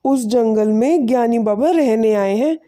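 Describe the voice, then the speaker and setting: Woman playing a mellow, humble poor village father. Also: on the high side, measured pace, close to an everyday voice. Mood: humble, earnest. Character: poor, weary, kind village father and farmer. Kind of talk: animated moral story dialogue.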